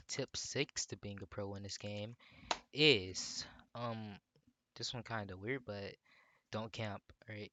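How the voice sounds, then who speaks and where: A man's voice: fairly low pitch, noisy background. Stuffy-nosed young man rambling over gunfire. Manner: rambling, slangy, lots of fillers and restarts. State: sore throat and stuffy nose. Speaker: gaming YouTuber. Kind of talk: casual gameplay commentary. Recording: loud game gunfire behind the voice. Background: gunshots and clicks from the game.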